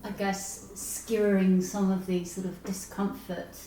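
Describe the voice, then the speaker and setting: A woman's voice: mid-range voice, little pitch movement, measured pace, dark tone. A woman interviewing in an informal literary discussion, tentatively searching for the right words. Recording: echoey room, distant mic, faint noise.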